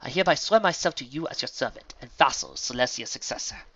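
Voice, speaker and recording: A man's voice: mid-range voice, expressive, fast talker, fairly bright tone, slightly rough, muffled recording, voice pushed up. A man voicing an earnest, intense female pony army captain with a dramatic, ceremonious manner in a storybook reading.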